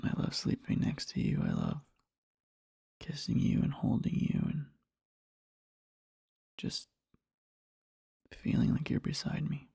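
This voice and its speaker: A man playing a devoted, sleepy boyfriend in an ASMR roleplay, murmuring tenderly and haltingly in a husky whisper, close to the microphone. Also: on the high side, wildly swooping pitch, dark tone, no echo, heavily acted. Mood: adoring, earnest. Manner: slow, halting, rambling, tender. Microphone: close-up microphone, dry.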